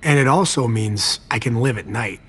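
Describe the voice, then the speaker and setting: A man's voice: mid-range voice, normal speed, husky, lightly acted. An actor voicing a nervous, servile vampire garage attendant in a video game, pleading and evasive in his manner. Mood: eager, explaining. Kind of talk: video game cutscene acting.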